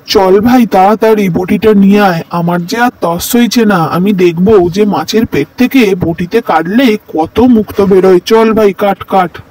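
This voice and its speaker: A man voicing a greedy, scheming brother in an animated folktale, speaking in a conspiratorial, dramatic way. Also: high-pitched, measured pace, few pauses, low-quality recording. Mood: greedy, eager.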